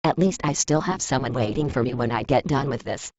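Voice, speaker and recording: An actor playing a cold, condescending villain who taunts a rival with deliberate menace in audio drama. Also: male voice, medium pitch, a little mellow, no echo, natural voice. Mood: scornful. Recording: dull, muffled close dry recording.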